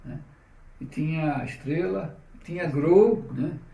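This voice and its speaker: A man's voice: fairly high pitch, unhurried, gravelly, dark tone. A middle-aged man, telling stories in an interview in a chatty way, often tagging his sentences with a question. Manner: chatty, frequent "né?" tags, restarts. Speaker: interviewee, publisher. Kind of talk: relaxed conversational storytelling. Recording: roomy, distant mic, faint noise.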